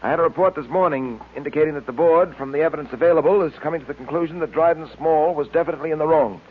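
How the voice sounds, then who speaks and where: An actor playing a calm, shrewd police detective in an old radio drama, with a businesslike, authoritative manner. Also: male voice, on the high side, lightly acted. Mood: calm, assured. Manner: businesslike, measured wording. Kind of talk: 1940s radio drama acting. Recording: tinny old radio recording.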